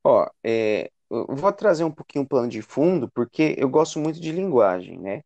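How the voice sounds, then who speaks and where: A man with a Brazilian accent from São Paulo state, explaining things conversationally as an interview guest, often halting and repeating words. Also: medium pitch, sing-song, a little mellow, no room sound, lo-fi sound. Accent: Brazilian Portuguese, São Paulo state. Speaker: English teacher and university student, interview guest.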